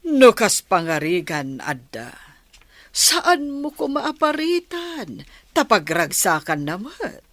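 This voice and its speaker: A woman's voice: mid-range voice, sing-song, slow, fairly bright tone, no echo, exaggerated voice. A woman with an Ilocano Filipino accent playing a scheming, falsely sweet stepmother in a radio drama. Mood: insinuating, coaxing.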